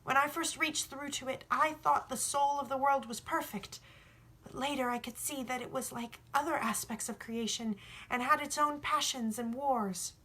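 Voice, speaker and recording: A woman's voice: sits high, fairly even intonation, moderate pace, sharp tone, pitched above the performer's own voice, poor audio. A woman voicing an earnest, bold young boy who philosophizes emphatically in a storybook read. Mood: passionate.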